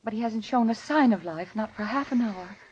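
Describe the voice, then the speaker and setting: An actress playing a calm, dutiful female nurse in a 1940s radio drama. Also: on the high side, brisk, muffled recording, natural voice. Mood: hushed, gentle. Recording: lightly hissy vintage radio recording.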